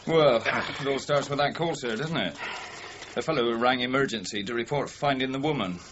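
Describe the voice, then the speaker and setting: An actor playing a plain-speaking junior detective in a radio crime drama, colloquial and methodical. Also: male voice, medium pitch, moderate pace, a bit bright, natural voice. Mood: reasoning. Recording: dull lo-fi audio, faint noise.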